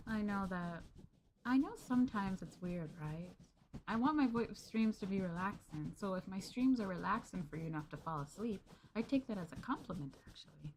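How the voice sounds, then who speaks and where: A young woman streamer chats softly with her viewers in a cozy ASMR roleplay stream. Her voice sits at an ordinary middle pitch, gentle and giggly, often sinking to a hush. A faint hiss runs underneath. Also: lively intonation, normal speed, poor audio.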